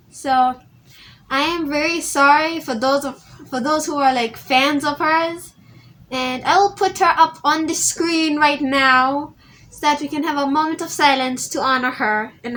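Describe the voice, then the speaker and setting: High-voiced chatty teenage girl. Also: fairly bright tone, poor audio. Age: teens. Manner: rambling, restarts often, chatty. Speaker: vlogger. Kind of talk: casual vlog tribute.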